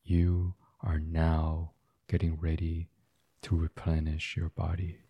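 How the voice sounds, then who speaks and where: A man gently guiding a sleep meditation in a soft, soothing, unhurried ASMR style. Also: very deep voice, completely flat delivery, creaky, slightly rough, no echo, lo-fi sound. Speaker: guided meditation host.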